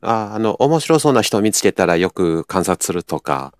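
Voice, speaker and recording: A man's voice: low voice, sing-song, normal speed, no room sound. Man chatting, close dry sound. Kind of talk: casual chat.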